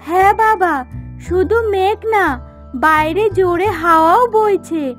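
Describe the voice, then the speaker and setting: A woman's voice: very high-pitched, measured pace. A woman voicing a caring, anxious young village daughter of a fisherman in a cartoon moral story, earnest in manner. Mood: urging, eager. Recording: music behind the voice.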